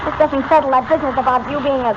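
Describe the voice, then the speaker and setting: A woman playing an eager, loyal teenage boy, excitable and earnest, in 1940s radio style. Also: high voice, very fast, natural voice. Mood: indignant. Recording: noisy, muffled old radio recording.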